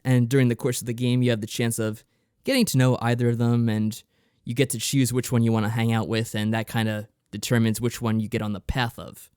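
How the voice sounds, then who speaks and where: A man's voice: medium pitch, flat delivery, fairly quick, darkish tone, clear, resonant tone, no echo, clean studio sound. A man co-hosting a podcast, explaining things in a casual, loosely phrased review style. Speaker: podcast co-host.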